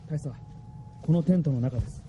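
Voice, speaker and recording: A male actor as a dutiful junior soldier in a radio drama. His slightly high voice is formal and deferential, reporting at a quick clip over faint noise. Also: mellow, close to an everyday voice. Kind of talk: Japanese radio drama acting.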